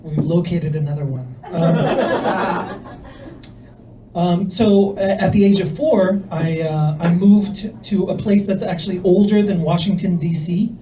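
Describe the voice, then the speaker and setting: A young man from El Salvador doing a stand-up set in a comedy club, with a soft voice and a hesitant, deadpan delivery full of fillers. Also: high voice, a little slow, noisy background. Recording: voice from far off the mic.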